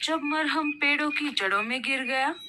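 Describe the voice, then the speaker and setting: A woman's voice: high-pitched, normal speed, noisy background. A woman reading scripted narration as the storyteller of a children's tale.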